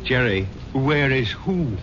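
An actor playing a kindly, philosophical barkeep in a radio drama. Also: male voice, lively intonation, takes it slowly. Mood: concerned. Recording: dull, noisy radio recording with rumble.

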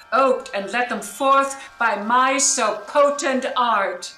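A woman plays a commanding exiled sorceress in a Shakespearean radio drama. Her voice is bright and forceful, and she declaims slowly and grandly. The sound is roomy, with music behind her. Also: mid-range voice. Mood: solemn, resolute. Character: commanding sorceress, grave, wronged exiled duchess, forgiving. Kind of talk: Shakespearean radio play acting. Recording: roomy home recording made online.

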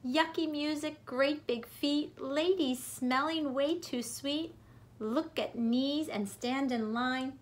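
A woman reads a children's picture book aloud in an expressive, rhythmic, deliberate way for young listeners. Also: sits high. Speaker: preschool teacher reading aloud. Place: bedroom at home.

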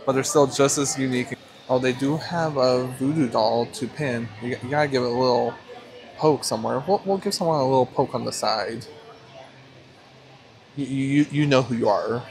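A man's voice: medium pitch, moderate pace. A man casually vlogging as he walks around an indoor expo, upbeat and easily delighted, with joking asides. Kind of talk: casual walkthrough vlog. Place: busy indoor expo hall. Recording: crowd chatter behind.

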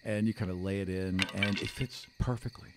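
A man's voice: low voice, steady tone, fairly quick, very noisy. A man in his thirties improvising narration as game master, close on a podcast microphone in a small room. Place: small studio room, indoors. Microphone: close podcast microphone on a stand.

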